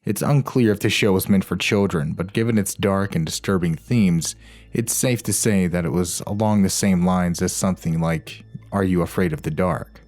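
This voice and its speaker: Low-voiced man narrating over music. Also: moderate pace, darkish tone. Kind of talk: scripted narration. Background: background music.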